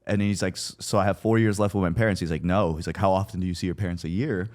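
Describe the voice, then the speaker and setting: A man with a low-pitched voice speaks in casual, personal conversation. He is reflective and somewhat halting, often padding his phrases with "like" as he thinks aloud. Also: fairly even intonation, a little mellow. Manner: halting, reflective, heavy use of "like".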